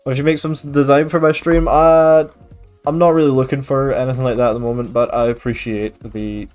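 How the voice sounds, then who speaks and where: A man's voice: mid-range voice, brisk, clear, resonant tone, faint music behind. A man from Scotland with a mild Scottish accent, streaming a game and casually chatting with viewers. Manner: relaxed, chatty, reads and answers chat with frequent fillers. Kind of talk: casual gaming stream chat.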